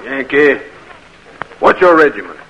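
An actor playing a stern, dutiful Confederate captain in an old radio drama. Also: male voice, medium pitch, very animated, a little slow, pushed and bright. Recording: dull, noisy vintage radio recording.

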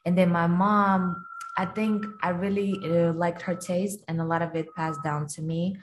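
A young woman from Kazakhstan, a guest on a casual podcast, talking about her life and work in a relaxed way with many fillers. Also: lowish voice, a little slow, slight background noise, rough recording.

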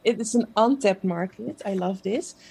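A woman's voice: mid-range voice, moderate pace, creaky, a little mellow, husky. A woman from the Netherlands with a Dutch accent, a Dutch native speaker, chatting casually as an interview guest with many fillers and easy laughs. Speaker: guest, social media page creator.

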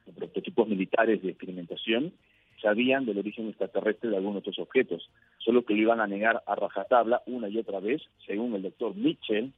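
A man from Peru, answering as a radio interview guest in measured, emphatic phrases. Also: on the low side, breathy edge, no room sound. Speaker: interview guest, UFO researcher. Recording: thin phone-like line.

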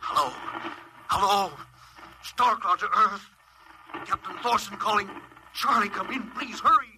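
An actor in a vintage radio drama playing an embattled spaceship captain, urgent and melodramatic, on a noisy old recording. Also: male voice, high-pitched, measured pace, over music. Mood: desperate urgency.

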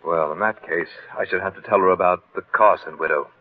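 An actor in a 1940s-style radio drama playing an odd, fawning blackmailer, formal and precise, with quiet menace under the politeness. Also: male voice, low voice, quick pace, phone-quality sound, natural voice. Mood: quietly threatening. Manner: formal, precise, deferential with veiled threat. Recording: band-limited vintage radio recording.

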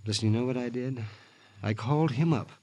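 An actor playing a distraught, bitter American husband in a 1950s radio drama, husky and agitated, repeating himself with emotion. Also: male voice, mid-range voice, a little fast, mellow, a little hiss or hum, close to an everyday voice. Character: distraught, guilt-ridden, bitter husband of a murdered woman.

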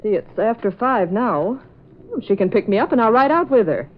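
A woman's voice: mid-range voice, lively intonation, moderate pace, close to an everyday voice. Businesslike female secretary actress, mid-range voice, vintage radio play, muffled lo-fi sound. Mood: brisk. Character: brisk, practical female newspaper secretary. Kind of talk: 1940s radio acting. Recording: dull lo-fi old radio recording.

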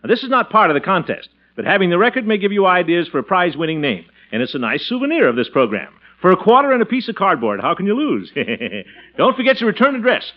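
A man's voice: high-pitched, big pitch swings, quick pace. An actor playing a pompous, jovial, easily flustered middle-aged man in a blustery 1940s radio comedy style. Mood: folksy, cheerful. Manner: blustery, chuckling, theatrical delivery. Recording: muffled vintage radio recording with music.

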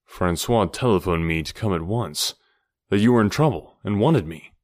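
Man as an earnest, low-voiced adventurer hero. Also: expressive, normal speed, no echo. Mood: puzzled, earnest. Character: earnest, grave adventurer hero, concerned and steady. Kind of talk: audiobook character dialogue.

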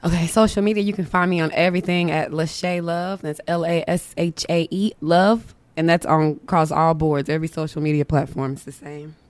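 A woman R&B singer as a podcast guest, talking in a candid, slangy way with a low voice and frequent restarts. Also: fairly even intonation, brisk, few pauses, a little mellow. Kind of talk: casual interview chat. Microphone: studio mic.

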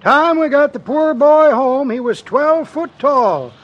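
A man playing a folksy old-timer with a rural American accent, spinning tall tales in deadpan 1950s radio comedy style. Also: extremely high pitch, takes it slowly, heavily acted. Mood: deadpan yarn-spinning. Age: older. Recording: dull old radio recording.

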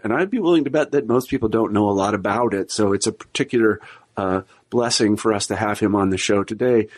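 A middle-aged man hosting an interview podcast, speaking with many fillers and restarts. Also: lowish voice. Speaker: podcast host.

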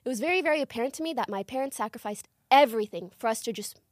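A young woman with a high voice talks in a lively, joking way as a podcast guest. The sound is close and dry, with no room around it. She is from Morocco and laughs easily between thoughts. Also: no room sound. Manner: animated, joking, quick to laugh. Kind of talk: casual podcast chat.

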